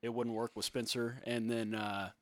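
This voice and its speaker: A man in his thirties, a musician, chatting casually on a podcast in a soft, fairly high voice, rambling with lots of fillers and restarts. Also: level tone, moderate pace, no room sound.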